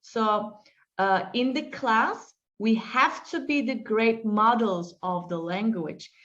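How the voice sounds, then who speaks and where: Woman teacher, unhurried and reflective, muffled call audio. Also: a little high, a bit bright. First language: Turkish. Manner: slow, reflective, with frequent fillers and restarts. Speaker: English teacher being interviewed. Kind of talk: interview answers, storytelling.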